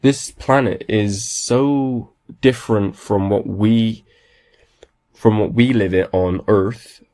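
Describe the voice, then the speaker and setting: Male podcast host, low gravelly voice, raspy with a cold, eager and rambling. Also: fairly even intonation, slow pace, mellow. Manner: enthusiastic, rambling with many restarts. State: has a cold. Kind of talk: casual solo podcast talk.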